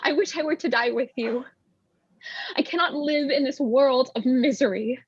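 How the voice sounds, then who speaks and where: A woman playing a concerned, sorrowful young gentlewoman in a staged gothic drama, earnest and husky. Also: sits high, moderate pace, no room sound, dull audio. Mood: despairing. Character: Elizabeth, sorrowful, concerned young gentlewoman, firm yet doubting.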